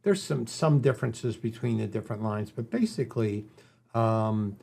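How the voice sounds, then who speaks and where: A middle-aged man hosting a podcast, chatting in a relaxed way and chiming in with short agreements. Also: on the low side, normal speed, creaky. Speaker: podcast host. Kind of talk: casual chat.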